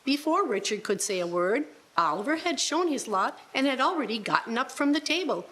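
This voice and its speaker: Female narrator, slightly high pitch, scripted storytelling, radio play reading. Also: big pitch swings, a little fast, fairly bright tone, rough recording.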